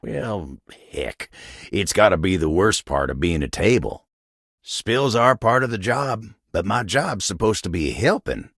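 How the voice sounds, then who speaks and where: Kindly wooden table character, Southern American country drawl, gravel-throated, lively rise and fall, self-effacing, folksy, game voice acting. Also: male voice, low voice, no room sound, studio quality, exaggerated voice. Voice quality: gravelly, husky. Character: kindly, hardworking, humble male talking wooden table.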